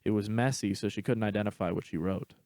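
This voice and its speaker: A man's voice: fairly low pitch, fast talker, a little mellow, dry recording, studio quality. A man reading a scripted story aloud as its narrator.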